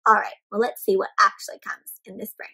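A young woman reading a picture book aloud to young children in an animated, expressive way, often putting questions to the listeners. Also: medium pitch, brisk, gravelly, husky. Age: young adult. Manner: animated, questioning, addressing young viewers as friends; exaggerated expressive delivery with gasps and stretched words. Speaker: children's read-aloud storyteller. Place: indoor room with houseplants.

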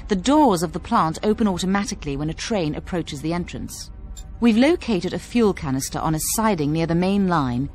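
A woman's voice: lowish voice, darkish tone, natural voice. A woman playing a composed military officer giving scripted, instructive mission briefings in a video game.

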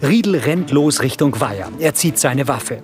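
A man's voice: mid-range voice, lively intonation, normal speed, over music. A man reading scripted scene descriptions as an audio description narrator.

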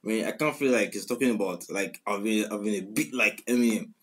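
A man's voice: lowish voice, fast. A man in his twenties with a Nigerian accent, giving casual commentary on songs and repeating lyrics in slangy talk. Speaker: reaction video host. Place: small room at home, indoors. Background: music playing.

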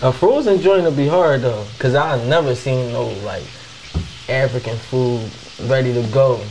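A man's voice: medium pitch, moderate pace, a little mellow, low-quality recording. A man, chatting casually in slangy, informal speech as a podcast host. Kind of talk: casual chat interview. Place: home kitchen, indoors. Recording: clear sizzling kitchen noise behind. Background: frying and sizzling food.

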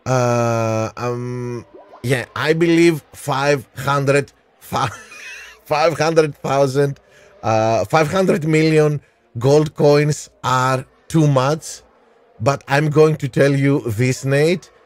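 A man in his thirties streaming a racing game live, calling strategy and answering his chat by name with frequent pauses, on a headset mic in a small room. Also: mid-range voice. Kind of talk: live gameplay commentary and chat replies.

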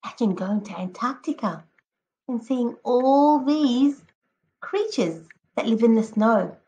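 A woman teacher reading a picture book aloud to children, expressively, addressing them directly and encouragingly with drawn-out emphatic words. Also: fairly high pitch, expressive, dark tone, poor audio. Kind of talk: expressive children's read-aloud with prompting questions.

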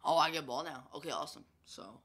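Bright congested young gaming guy. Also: a little high, fast. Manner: chatty, rambling, filler-heavy. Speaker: gaming YouTuber. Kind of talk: casual gameplay commentary.

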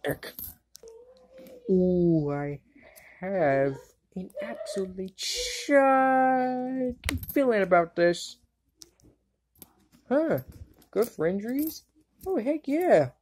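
A woman voicing a jokey, excitable young boy wizard in a cartoon fan dub, cracking wisecracks and yelping exclamations. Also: medium pitch, drawn-out words, long pauses, heavily acted.

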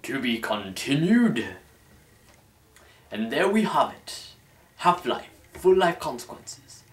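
A young man reads aloud like the host of a dramatic storytelling show. His mid-range voice rises and falls in an animated, mock-formal way. The sound is roomy, picked up by a camera mic in a home room. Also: mid-range voice, takes it slowly. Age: young adult. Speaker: host reading fan fiction aloud. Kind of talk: mock-dramatic scripted narration. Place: small indoor room at home.

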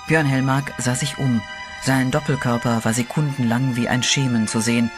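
A man narrating a horror radio play in a steady, dramatic storytelling voice, with eerie music behind him. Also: mid-range voice, normal speed.

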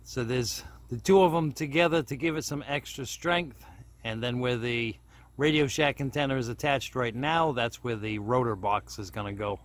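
A man's voice: medium pitch, moderate pace. A man giving a plain-spoken, casual step-by-step narration of a hands-on DIY project as he films it. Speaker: DIY hobbyist video host.

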